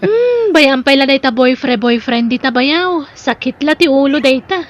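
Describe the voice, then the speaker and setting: A woman's voice: high voice, lively intonation, normal speed. A woman acting a friendly, bashful single businesswoman in an audio drama, with an Ilocano Filipino accent. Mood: bashful, teasing. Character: Pearly, single businesswoman, friendly bashful younger sister-in-law.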